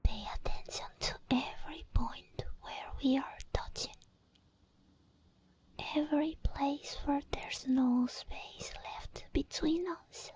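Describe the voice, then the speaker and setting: A woman playing an obsessed, possessive sleep paralysis demon in an ASMR roleplay, whispering slowly and breathily with coaxing, teasing menace. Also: high-pitched, fairly even intonation, a little mellow. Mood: controlling, teasing. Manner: slow, deliberate, coaxing and controlling.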